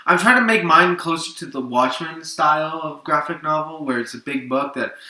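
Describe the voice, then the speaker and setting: A man's voice: fairly high pitch, normal speed, sharp tone. A man chatting casually and eagerly as co-host of a comics show, in informal, opinionated fan talk. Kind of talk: casual unscripted chat. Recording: roomy echo, distant from the mic.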